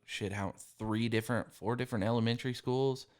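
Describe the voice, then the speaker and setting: A man's voice: medium pitch, fairly even intonation, a little fast, clear, resonant tone. A man hosting a podcast, trading crude, teasing banter and laughing often. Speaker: podcast host.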